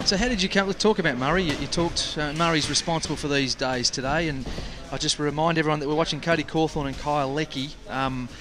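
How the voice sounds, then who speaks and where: A man's voice: fairly high pitch, quick pace, few pauses, over music. A man hosting a casual sports broadcast as interviewer. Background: crowd at boxing sparring afternoon.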